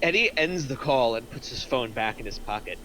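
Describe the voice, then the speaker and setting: A man's voice: medium pitch, lively intonation, fairly quick, sharp tone, a little hiss or hum, low-quality recording. A man reading scripted stage directions aloud in narration at a screenplay table reading.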